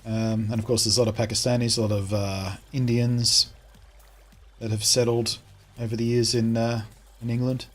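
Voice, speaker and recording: A man's voice: medium pitch, little pitch movement, normal speed, soft background music. A man with an Australian accent streaming a game from home, chatting casually and answering viewers' comments as he plays. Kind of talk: casual live-stream chat while playing.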